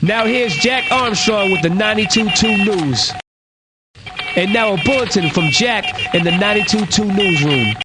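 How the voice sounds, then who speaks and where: A man's voice: sits high, animated, normal speed, very noisy. A man playing a brash, cocky hip-hop radio DJ with punchy, slangy, playful patter. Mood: teasing.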